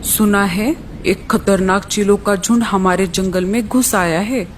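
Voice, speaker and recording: A woman's voice: medium pitch, steady tone, a little slow, slight background noise, lightly acted. A woman voicing a friendly male crow in a concerned, emphatic way for a children's cartoon story.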